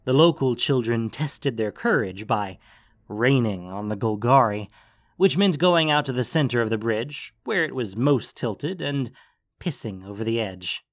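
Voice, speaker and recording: A man's voice: mid-range voice, lively intonation, measured pace. A man narrating a scripted fantasy story as the narrator of an audio production. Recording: music under the voice.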